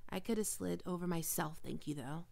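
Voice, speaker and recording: A woman voicing a prickly, flustered young catgirl roommate in an intimate ASMR roleplay, halting and defensive but secretly soft. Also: lowish voice, vocal fry. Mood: sleepy, soft.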